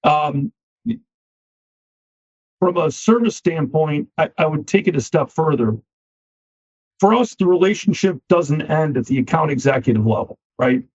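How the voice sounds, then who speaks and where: A man, a finance company CEO, answering interview questions with a slightly scratchy voice, measured and often repeating words as he starts a thought. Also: fairly high pitch, a little mellow. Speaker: equipment finance CEO, guest. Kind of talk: business podcast interview. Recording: dull lo-fi sound.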